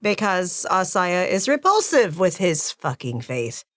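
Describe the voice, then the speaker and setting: A woman playing a tabletop roleplaying game, speaking slowly and deliberately and swearing casually. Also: on the low side, very animated, fairly bright tone, dry recording, studio quality. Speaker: player.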